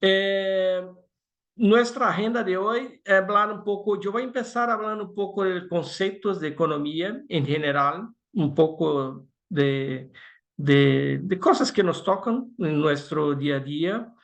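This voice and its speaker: A man from Brazil speaking with a Brazilian Portuguese accent as a guest expert, presenting in a webinar and haltingly searching for words in a language that is not his native Portuguese. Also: high voice, muffled recording.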